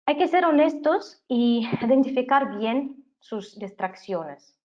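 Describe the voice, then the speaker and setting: A woman's voice: sits high, animated, dull audio. Canadian woman teaching a webinar in Spanish, non-native. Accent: foreign accent in Spanish. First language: not Spanish. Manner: measured, with fillers and restarts. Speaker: webinar presenter. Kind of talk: online masterclass talk. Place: home office.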